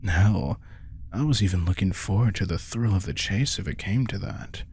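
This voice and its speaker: Man acting a low, smug, teasing vampire. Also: normal speed, slight background noise. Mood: amused, mocking. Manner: smug, teasing, softly commanding. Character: possessive, teasing male vampire with hypnotic powers. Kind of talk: intimate scripted audio roleplay addressed to the listener.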